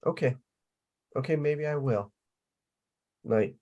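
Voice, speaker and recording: A man's voice: medium pitch, takes it slowly, mellow, highs cut off. A man playing a geeky, vulnerable young older brother, awkward, hesitant and hopeful, in a sitcom table read. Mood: nervous, hopeful.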